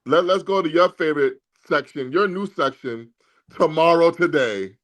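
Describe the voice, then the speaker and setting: A man's voice: a little high, normal speed, fairly bright tone. A man hosting an unscripted boxing debate, speaking emphatically and with self-assurance, repeating phrases for effect. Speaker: host of a boxing talk show.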